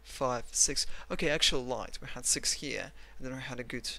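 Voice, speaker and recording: A man's voice: medium pitch, moderate pace, vocal fry, pushed and bright. A man with a Russian accent, talking through a chart analysis in a rambling, blunt, self-mocking way. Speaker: trading analyst. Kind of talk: screen-recorded chart analysis.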